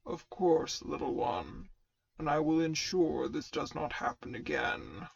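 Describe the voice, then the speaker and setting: A woman voicing a menacing, formal armored male warlord in an audio fan fiction, with a gravelly, vocoder-filtered growl. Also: low-pitched, expressive, unhurried, dry recording, lo-fi sound, voice pushed down, exaggerated voice. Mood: stern, protective. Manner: formal, clipped, sarcastic. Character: menacing, stern armored male Sith lord, protective mentor.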